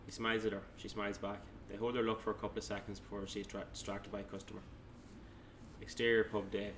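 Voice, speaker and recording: A man's voice: lowish voice, little pitch movement, fast talker, a bit bright, slight background noise. A man narrating stage directions at a table read, plainly, with small stumbles as he reads.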